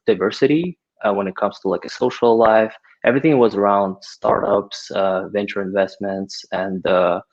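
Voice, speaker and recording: A man's voice: lowish voice, darkish tone. A man, a podcast guest answering casually with many fillers. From Ukraine. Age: 30s. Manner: hesitant, many filler words. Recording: dull lo-fi audio.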